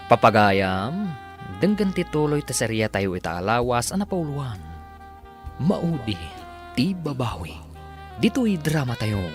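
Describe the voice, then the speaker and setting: Lively Ilocano radio drama announcer man. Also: fairly low pitch. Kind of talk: scripted dramatic radio narration.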